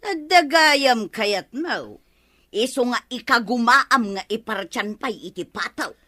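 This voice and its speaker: A woman acting a nagging, sharp-tongued village wife in an Ilocano radio comedy, with scolding, emphatic delivery. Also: a little high, expressive, takes it slowly, heavily acted. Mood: exasperated, scolding.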